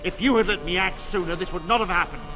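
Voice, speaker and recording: An actor playing a stern, suspicious templar knight commander in a fantasy game, formal, commanding and accusatory. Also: male voice, sits high, fast, lightly acted. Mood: grim. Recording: reverberant game dialogue with music.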